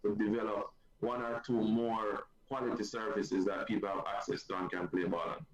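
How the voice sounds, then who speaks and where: A man with a Jamaican accent, a football coach and guest, talking in a measured, hesitant way with many fillers. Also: fairly low pitch, little pitch movement. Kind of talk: casual chat on a sports show. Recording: muffled echoey line, loud crowd behind.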